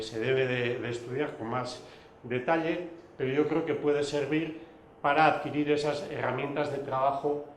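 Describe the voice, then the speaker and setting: Measured male lecturer, Basque native, echoey room. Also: on the high side, a little hiss or hum. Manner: measured, explanatory, many pauses and restarts. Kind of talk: recorded lecture.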